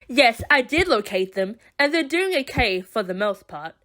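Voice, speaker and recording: A woman voicing an excitable, exasperated heroine in an audio drama, with exclamatory, sarcastic complaints. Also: on the high side, normal speed. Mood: matter-of-fact. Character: exasperated, excitable woman who teleports with gadgets. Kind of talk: amateur audio drama acting.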